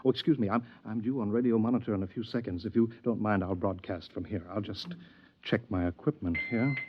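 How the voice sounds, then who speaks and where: An American actor in a 1950s radio drama playing a fussy, unctuous older adviser who wheedles and stammers pompously. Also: male voice, lowish voice, brisk, background noise, close to an everyday voice. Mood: persuasive, pompous. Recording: dull vintage 1950s radio recording.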